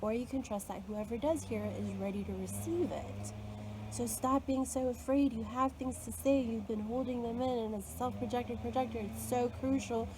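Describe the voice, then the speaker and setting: A woman talking alone in a reflective, earnest way, coaching herself and her listeners through fear. She fills her speech with "like" and laughs softly now and then. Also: on the high side, moderate pace, background noise. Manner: earnest, self-coaching, frequent "like" fillers and soft laughs. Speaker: spiritual content creator. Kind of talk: reflective solo talk.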